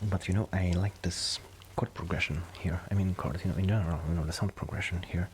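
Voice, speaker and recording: A man speaking softly and breathily in a casual ASMR friend roleplay, rambling and hesitant, with self-deprecating asides. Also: low-pitched, fairly even intonation, fast, background noise. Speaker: ASMR roleplay host playing a musician friend. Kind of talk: soft ASMR roleplay, casual intimate chat.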